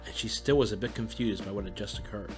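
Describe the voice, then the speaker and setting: A man's voice: fairly low pitch, quick pace. A man reading scripted story narration as the narrator of an audio drama. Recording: music under the narration. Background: music.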